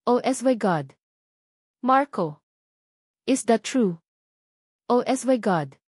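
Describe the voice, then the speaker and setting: A woman's voice: a little high, animated, slow, a little mellow, breathy edge, no echo, studio quality, full character voice. A woman voicing an anxious, gushing, two-faced female friend in an audio romance drama. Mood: overjoyed, hysterical.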